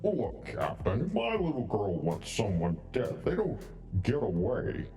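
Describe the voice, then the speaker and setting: An actor playing a protective, plainspoken middle-aged father in a video game, frank and folksy with a quiet threat underneath. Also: lowish voice, wildly swooping pitch, a little slow, recorded from a distance, faint music behind, heavily acted. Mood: frank, menacing. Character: protective, plainspoken older father of an outlaw woman. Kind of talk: scripted video game voice acting.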